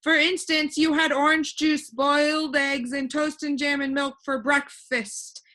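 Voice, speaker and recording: A woman's voice: high-pitched, little pitch movement, a little slow, sharp tone, pitched above the performer's own voice. A woman voicing a cheerful, know-it-all young boy in a storybook, proudly explaining things. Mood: matter-of-fact, amused. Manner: proud, lecturing. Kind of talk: animated children's storybook reading.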